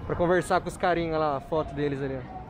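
Fast-talking slangy man interviewer. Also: a little high, steady tone, background noise. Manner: slangy, says "mano" a lot. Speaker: interviewer and YouTuber. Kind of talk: casual street interview. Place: city street at night, outdoors. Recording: music playing loudly behind.

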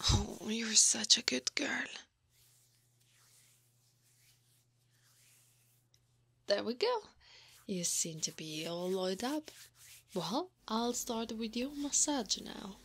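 Woman as a slow, breathy, caring older centaur lady. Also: fairly high pitch, heavily acted. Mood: soothing, affectionate. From Italy. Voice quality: breathy, often whispering. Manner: slow, soothing, softly teasing. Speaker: ASMR roleplay performer. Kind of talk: ASMR roleplay.

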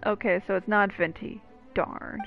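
Woman gamer, creaky voice, casual wry commentary, slightly muffled microphone, quiet music behind. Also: medium pitch, fairly even intonation, a little slow. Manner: dry, wry asides. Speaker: gameplay commentator.

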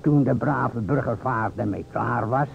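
An actor playing a solemn, theatrical investigator in an old radio drama, speaking formally and deliberately to a widow in a gravelly voice. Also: male voice. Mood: formal, pointed. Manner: formal, deliberate, dramatic storytelling with pointed pauses. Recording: vintage radio, narrow band, hiss and rumble.

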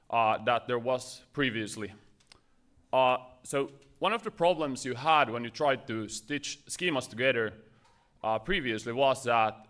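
A man's voice: mid-range voice, fairly bright tone. Nervous man giving a tech talk. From Finland. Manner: nervous, many fillers and restarts. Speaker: conference speaker. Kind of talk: short technical conference talk. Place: conference stage.

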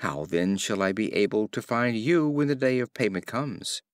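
A cautious, polite ewe from a storybook, played by a male narrator. She is suspicious and speaks with lively pitch. The recording is dry. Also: moderate pace, no echo, lightly acted. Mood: wary. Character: wary, polite female sheep.

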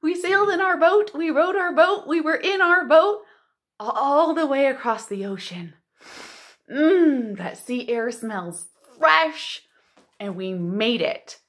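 A woman with a high voice hosts storytime for little kids. She takes her time over the words and swings widely up and down in pitch, with expressive delivery as she reads aloud and chats. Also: takes it slowly. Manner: animated, expressive, exclamatory. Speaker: children's librarian and storytime host. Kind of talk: storytime for young children, read-aloud and chat. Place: living room at home.

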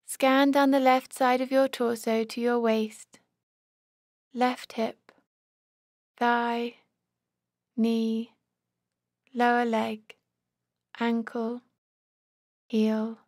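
A woman leading a guided body scan meditation as an instructor, calm and measured, giving short, gentle-paced instructions. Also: on the high side, no echo, clean studio sound.

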